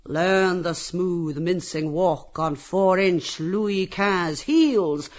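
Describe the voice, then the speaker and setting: A man's voice: high voice, very slow, dull audio, voice pushed up. A man voicing a cruel, jeering brothel boss who barks and taunts like an auctioneer in a theatrical audiobook. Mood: sly, commanding. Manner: taunting, bullying, theatrical barking. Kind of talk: theatrical audiobook character reading.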